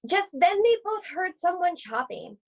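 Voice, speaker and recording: A woman reading a children's picture book aloud to schoolchildren in an animated, theatrical storytelling manner. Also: extremely high pitch, unhurried, a little hiss or hum. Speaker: read-aloud host at an elementary school. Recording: dull, lo-fi sound.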